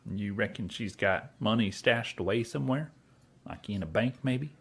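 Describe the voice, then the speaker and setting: An actor playing a simple, sentimental cowboy outlaw in a western comedy audio drama, with a husky rural Western drawl. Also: male voice, fairly low pitch, moderate pace, fairly bright tone, full character voice. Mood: uneasy. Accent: rural American West. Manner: folksy, ungrammatical drawl.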